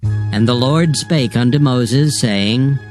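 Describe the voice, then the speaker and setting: A man narrating scripture from a script in a formal, measured way. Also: mid-range voice, darkish tone. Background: faint music.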